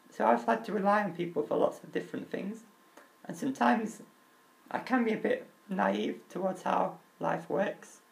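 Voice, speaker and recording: A young man with a crackly, high-pitched voice, talking openly and earnestly about himself, with halting restarts. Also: vocal fry. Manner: open and earnest, halting restarts, nervous laughs. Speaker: vlogger. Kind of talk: candid personal talk.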